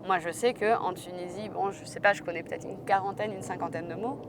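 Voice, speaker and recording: A woman hosting an interview podcast, chatty and lively, speaking casually with her guest. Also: medium pitch, fairly quick, background noise. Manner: lively and chatty, laughs easily. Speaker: podcast host.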